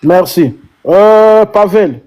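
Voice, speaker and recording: A man's voice: sits high, animated, very slow, a little mellow. A man moderating an online panel debate, directing speakers and handing out the floor. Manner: directive, passes the floor, mixes in Lingala words.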